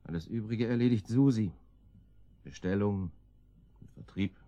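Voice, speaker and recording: An actor playing a laconic, dryly ironic gangster guard in a German radio drama, gruffly giving orders. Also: male voice, on the low side, unhurried, mellow, lo-fi sound, lightly acted. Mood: matter-of-fact. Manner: laconic, dry, gruffly commanding.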